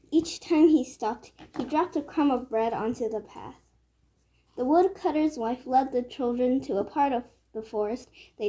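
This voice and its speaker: A woman storyteller narrating a children's read-aloud tale with measured phrasing. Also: high voice, dark tone, rough recording.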